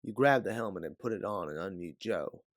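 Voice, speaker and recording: A man reading scripted second-person story narration as an audiobook narrator, in a dramatic, deliberate way. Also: low-pitched, animated, dry recording, clean studio sound.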